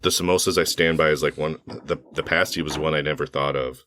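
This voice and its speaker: A man co-hosting a podcast in casual chat, his voice slightly hoarse, rambling with fillers and self-corrections. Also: rumbling low, fairly even intonation, fairly quick, a bit bright, slight background noise. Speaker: podcast co-host.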